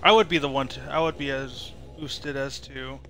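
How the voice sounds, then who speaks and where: A man's voice: mid-range voice, steady tone, moderate pace, music in the background. A young man giving casual, off-the-cuff gameplay commentary as a streamer. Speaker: game streamer. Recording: noisy stream with game sounds.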